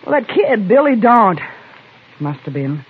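Tinny old radio, very rough female voice, blunt folksy western landlady, swooping emphatic delivery. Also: mid-range voice, very animated, measured pace, heavily acted. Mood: bitter, accusing. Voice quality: husky, rough voice. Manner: folksy, emphatic frontier speech. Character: feisty, blunt rooming-house landlady of the Old West. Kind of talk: 1950s radio western acting. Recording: tinny, narrow old-time radio recording.

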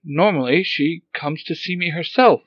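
A man voicing a wise, calm old male mentor in a fan audio story. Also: fairly high pitch, normal speed, dry recording, dull audio, voice pushed up, close to an everyday voice.

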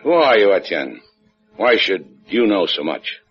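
Low-voiced, deadpan tough guy. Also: unhurried, exaggerated voice. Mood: tough, suspicious. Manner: deadpan, wisecracking. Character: tough, sardonic hard-boiled boat-owning adventurer man. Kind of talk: 1950s radio adventure acting. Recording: dull 1950s radio recording, faint music.

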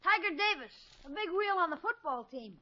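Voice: very high-pitched, expressive, fairly quick. A performer playing a brash, defensive adolescent boy, slangy and offhand, in a 1950s radio comedy. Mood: impatient, defensive. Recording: muffled old radio broadcast.

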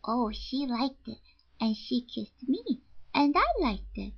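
A woman voicing an artless, literal-minded little boy in an audiobook, with childish grammar and drawn-out baby talk. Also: a little high, expressive, vocal fry, slightly rough, lo-fi sound, voice pushed up, full character voice. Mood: artless, pleased. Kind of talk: audiobook character voice.

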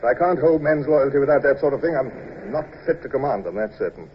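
An actor playing a brisk, blustering but humane British naval commodore in a radio drama, with an authoritative, clipped, theatrical delivery. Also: male voice, medium pitch, animated, natural voice. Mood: firm. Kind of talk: old-time radio drama acting. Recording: dull, noisy old radio recording.

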